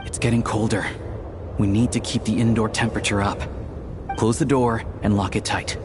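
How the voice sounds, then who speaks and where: A man playing a grave, cautious man in a video game, speaking in a serious and measured way. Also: lowish voice, little pitch movement, background noise, close to an everyday voice. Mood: wary, commanding.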